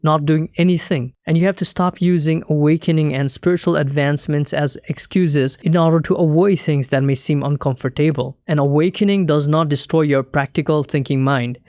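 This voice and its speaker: Earnest, measured man teaching calmly. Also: on the high side, no room sound, studio quality. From Nepal. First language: Nepali. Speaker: spiritual teacher. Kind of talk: calm scripted talk to camera.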